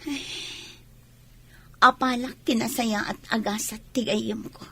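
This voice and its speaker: Woman acting a husky, high-voiced ambitious young village woman. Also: steady tone, takes it slowly, bright, forceful tone, heavily acted. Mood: downcast. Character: ambitious, regretful pregnant young village woman longing for city life. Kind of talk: Ilocano radio drama acting.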